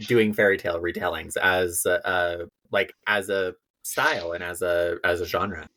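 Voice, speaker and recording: A man's voice: low voice, fairly even intonation, measured pace, bright, forceful tone. A man co-hosting a podcast in a conversational interview style, thoughtful and articulate in how he talks. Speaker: podcast co-host.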